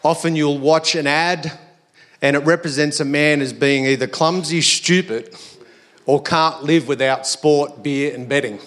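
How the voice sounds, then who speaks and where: Slightly croaky Australian man preaching. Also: fairly high pitch, fairly even intonation, unhurried, fairly bright tone. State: slightly hoarse. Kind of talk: sermon to a congregation.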